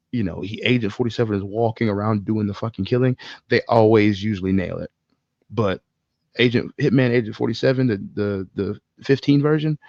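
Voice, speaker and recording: A man's voice: on the low side, vocal fry, a little mellow, dry recording. A man co-hosting a podcast, talking informally with opinions and casual swearing, on a slightly dull microphone. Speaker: podcast co-host. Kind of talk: casual chat about movies and games.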